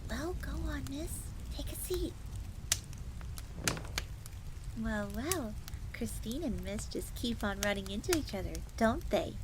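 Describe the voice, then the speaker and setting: Fox maid female actor, high voice, playful, coy and chatty, devoted servant, fantasy audio roleplay, noticeable background ambience. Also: expressive, moderate pace. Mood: chatty, curious. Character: friendly, devoted fox maid speaking of herself in third person. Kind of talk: scripted roleplay audio drama. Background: rain and crackling fire.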